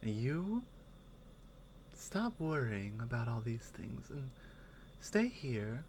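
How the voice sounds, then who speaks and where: A woman voicing a strong, protective Nordic warrior companion in an intimate ASMR roleplay, soft-spoken and partly whispering, tender and teasing. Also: a bass voice, very animated, extremely slow, a little hiss or hum, pitched below the performer's own voice, full character voice. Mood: teasing, affectionate.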